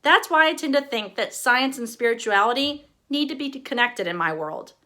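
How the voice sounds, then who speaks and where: Bright-voiced woman with a light Texas accent. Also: on the high side, expressive, moderate pace. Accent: slight Texas. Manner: wry, humorous storytelling. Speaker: author. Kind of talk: reading her own memoir aloud.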